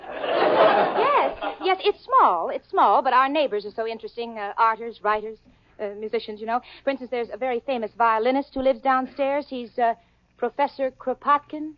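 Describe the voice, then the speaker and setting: A woman's voice: a little high, moderate pace, a little hiss or hum, lightly acted. An actress playing a sensible young woman who gets flustered and stammers, in a 1940s radio sitcom. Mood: polite. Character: level-headed young woman roommate, easily flustered. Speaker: lead character and narrator. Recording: dull old radio broadcast with audience.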